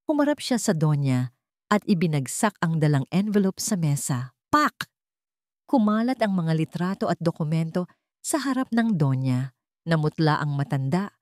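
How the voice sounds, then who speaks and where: Female storyteller for dramatic fiction, soft dark timbre, lower register, close dry recording. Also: sing-song, normal speed, dry recording. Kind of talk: dramatic scripted narration.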